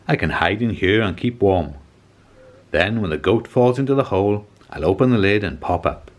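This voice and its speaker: A man's voice: fairly low pitch, animated, normal speed, natural voice. Man voicing an eager, hapless storybook troll. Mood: eager, scheming. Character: hungry hapless troll, scheming but easily cowed. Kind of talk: children's storybook character voice.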